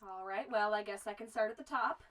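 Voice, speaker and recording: A woman's voice: mid-range voice, a little fast, a bit bright, close to an everyday voice. An actress playing a friendly, talkative young woman who keeps a remote frontier store, with a folksy manner. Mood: friendly, chatty. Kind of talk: amateur western film acting.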